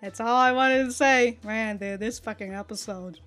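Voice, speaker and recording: A woman giving a casual, animated episode review as a reaction host, with slangy, sweary talk. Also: on the high side, moderate pace, soft background music.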